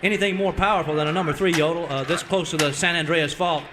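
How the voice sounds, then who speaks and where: An actor playing an eager, brainy cowboy sidekick, excitable and earnest, in a parody of an old radio serial. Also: male voice, on the high side, fast talker, noisy background, lightly acted. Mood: scholarly.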